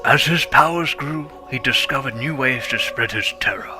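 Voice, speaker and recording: A man voicing a wise old male fire dragon mentor, telling the legend in a solemn, measured way, with music underneath. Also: mid-range voice, close to an everyday voice. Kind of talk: dramatic script reading.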